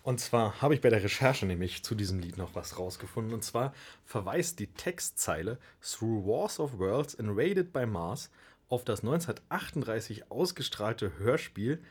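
A man's voice: on the low side, expressive, moderate pace, husky. A man hosting a radio show casually, chatty and a little hesitant in his phrasing. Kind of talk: casual magazine show presenting.

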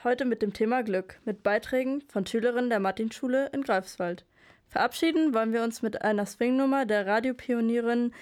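A young woman reads the closing words of a student radio show. She reads steadily from a script in a fairly high voice. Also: darkish tone. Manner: smooth, reading from a script. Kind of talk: read closing announcement.

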